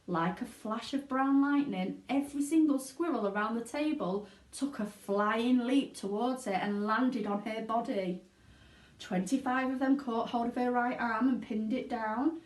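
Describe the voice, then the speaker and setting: A woman narrating a children's storybook aloud in an expressive reading style. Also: a little high, a little slow. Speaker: teaching assistant reading aloud. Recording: echoey room.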